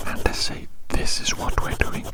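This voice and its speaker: This is an ASMR performer speaking entirely in a breathy whisper, very close to the microphone. The delivery rambles along, circling back to repeat phrases and addressing the listener as a friend. Soft scratching sounds sit behind the voice. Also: moderate pace.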